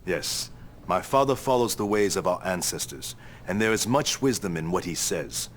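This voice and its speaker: An actor playing a young crown prince who speaks in a calm, assured way in a game cutscene. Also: male voice, deep voice, moderate pace, slight background noise, low-quality recording. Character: young male crown prince, confident and modern.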